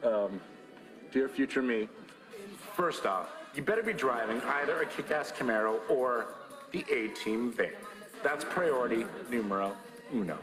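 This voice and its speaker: A man's voice: medium pitch, animated, slow, pauses often, fairly bright tone, faint music behind. An actor playing a cocky young man who writes a letter to his future self. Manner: cocky, joking.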